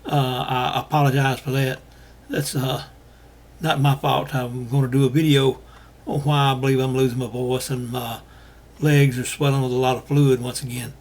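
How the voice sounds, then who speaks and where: An older man from Alabama, hosting a casual monologue to viewers, talking in a halting way with many fillers while he is losing his voice. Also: mid-range voice, little pitch movement, a little mellow. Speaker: host.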